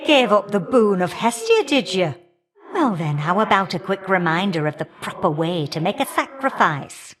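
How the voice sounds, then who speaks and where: A woman's voice: medium pitch, a bit bright. A woman playing an irritable, motherly old goddess in a video game, scolding and teasing in a folksy way with old-fashioned endearments. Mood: miffed, warning. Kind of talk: video game character dialogue.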